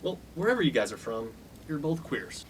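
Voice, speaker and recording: An actor playing a bullying, dim young fraternity boss in a comedic radio play, blunt and domineering. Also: male voice, on the high side, very animated, fairly quick, slight background noise, rough recording. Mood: hostile.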